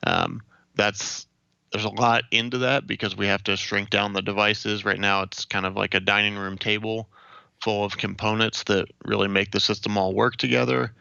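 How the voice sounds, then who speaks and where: A young American man from Ohio giving measured, explanatory answers as an interview guest. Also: on the low side, steady tone, gravelly, pushed and bright, smooth, no room sound, lo-fi sound. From Ohio, United States. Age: young adult.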